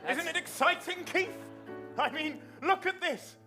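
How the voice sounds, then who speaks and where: A man's voice: very high voice, a little slow, sharp tone, soft background music, some chatter behind, exaggerated voice. A man playing an enthusiastic, awkward widowed father in improvised comedy. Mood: wistful excitement. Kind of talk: improvised comic stage acting.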